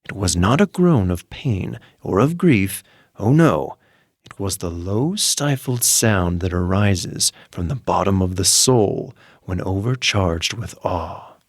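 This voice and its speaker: A man narrating a dark story in a dramatic, slow reading, dropping to hushed murmurs and rising to sudden intense outbursts. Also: sits low, animated, dry recording, clean studio sound. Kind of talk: dramatic audiobook reading for sleep.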